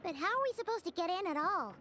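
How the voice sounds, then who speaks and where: Small childlike creature sidekick for a video game: squeaky, very high, animated and expressive. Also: female voice, fast talker, a little hiss or hum, heavily acted. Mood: curious, doubtful. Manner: exclamatory, expressive. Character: small, excitable, high-voiced female companion creature.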